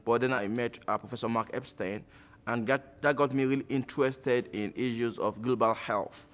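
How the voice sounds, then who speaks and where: A Nigerian man in his thirties, speaking reflectively with frequent pauses in a documentary interview. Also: medium pitch, fairly even intonation. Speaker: alumnus interviewee.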